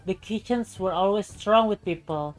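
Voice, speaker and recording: A woman's voice: mid-range voice, moderate pace, poor audio. Woman reading a storybook tale over music. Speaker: streamer. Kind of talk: reading a visual novel aloud, storybook narration. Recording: music behind the voice. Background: game music.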